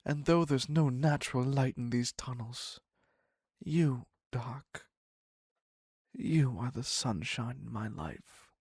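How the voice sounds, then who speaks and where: A man playing an obsessive, needy captor devoted to his captive in an intimate dark romance roleplay, husky and halting, often dropping into a whisper. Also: mid-range voice, no room sound, studio quality. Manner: halting, pleading, very slow with long pauses.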